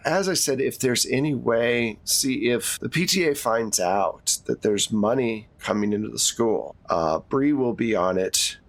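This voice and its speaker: Tabletop game role: tired, plain-spoken school principal in their sixties played by a man, halting, gently exasperated, medium pitch. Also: no echo. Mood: cautious. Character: weary sixty-two-year-old school principal nearing retirement, frank. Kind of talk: improvised tabletop roleplay.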